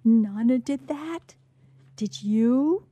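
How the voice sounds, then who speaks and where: A woman's voice: on the high side, drawn-out words, dark tone, dry recording, voice pushed up. A woman reading a short story as an amazed, eager young child, with earnest questions.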